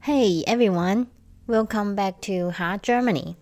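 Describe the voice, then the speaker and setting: A young woman from Taiwan, hosting a casual solo podcast and telling stories slowly with light humor. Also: mid-range voice, over loud music. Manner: slow, measured storytelling with light humor. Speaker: podcast host.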